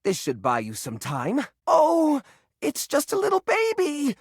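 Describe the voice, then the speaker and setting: A woman voicing an eager, bookish, nervous but brave healer in a video game, speaking in an earnest, excitable way. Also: sits high, measured pace, gravelly, dry recording, studio quality, heavily acted. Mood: resolute. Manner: earnest, excitable, wholesome exclamations. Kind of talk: video game character acting, tabletop role-play.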